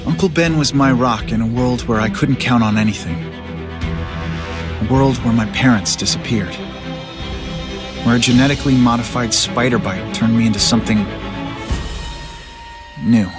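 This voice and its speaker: A man's voice: mid-range voice, moderate pace, long pauses, music in the background, lightly acted. An actor playing a young male masked hero in a game, narrating and trading wry quips. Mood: solemn. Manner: wry, quipping. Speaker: narrator and lead character.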